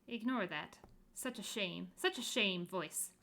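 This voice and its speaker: A woman's voice: mid-range voice, a little slow, pushed and bright. A woman voicing a frantic, scolding spirit face on a magic wheel that keeps repeating itself, in a storybook reading. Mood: frantic shrill.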